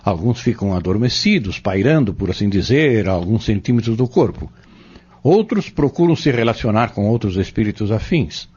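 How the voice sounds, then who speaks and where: Dull sounding audio drama, guy acting earnest patient teacher man, low n husky, explains stuff carefully. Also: animated, darkish tone. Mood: patient, instructive. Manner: didactic, measured, explains step by step. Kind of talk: scripted audio drama dialogue.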